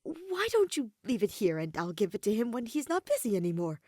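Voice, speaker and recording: A woman voicing a polite but gently firm male office assistant in a story. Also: a little high, big pitch swings, brisk, no room sound, studio quality, pitched above the performer's own voice.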